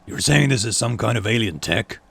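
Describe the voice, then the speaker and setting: A man's voice: fairly low pitch, animated, fairly quick, creaky, a bit bright, exaggerated voice. A man voicing a confident, commanding male hunting team leader in a sci-fi game. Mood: puzzled.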